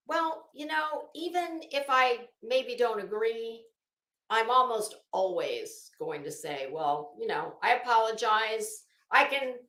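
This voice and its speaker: Slow-talking woman in an echoey room. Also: a little high, bright, forceful tone. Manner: sardonic, theatrical, emphatic. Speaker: livestream host and commentator. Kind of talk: casual commentary with dramatic reading of a legal document.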